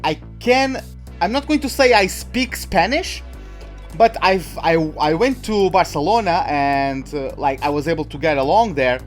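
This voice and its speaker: Chatty high-voiced young man, Portuguese native. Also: animated, unhurried, faint music behind. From Portuguese-speaking country. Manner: rambling, chatty, many fillers and restarts, self-mocking. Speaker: gaming YouTuber. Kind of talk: casual let's-play commentary.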